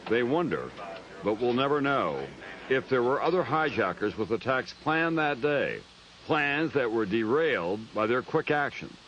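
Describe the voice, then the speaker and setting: A man with a mid-pitched voice reads scripted documentary narration. He is the reporter telling the story. The recording is dull and lo-fi, with faint noise underneath. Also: very animated, moderate pace, a bit bright.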